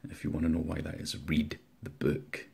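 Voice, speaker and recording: A man's voice: extremely low pitch, completely flat delivery, normal speed, vocal fry, mellow, husky. A man, an author speaking informally and reciting verse aloud. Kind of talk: informal introduction and dramatic poetry recital.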